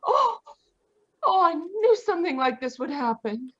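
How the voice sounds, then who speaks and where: A woman's voice: extremely high pitch, very animated, measured pace, a bit bright, muffled recording, full character voice. A woman playing a distraught, polite young lady of the house in an old-time radio melodrama. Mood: grieving, shocked. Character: distraught, polite grown daughter of murdered man. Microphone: video call.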